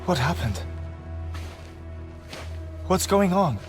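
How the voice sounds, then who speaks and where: An actor playing a grieving, eccentric scientist, speaking precisely in the measured manner of a cinematic game storyteller. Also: male voice, high-pitched, very animated, soft background music, exaggerated voice. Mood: desperate, pleading. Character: grieving, eccentric scholarly male scientist with a failing heart.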